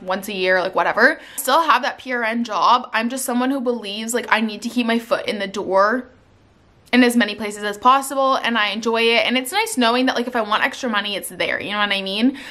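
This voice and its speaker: A woman's voice: mid-range voice, pushed and bright. A young woman from Canada chatting casually on her vlog, with lots of "like" and "um", sitting on her living room sofa. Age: young adult. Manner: chatty, many "like"s and "um"s. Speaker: vlogger. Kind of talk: casual life-update vlog. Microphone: camera in front, seated.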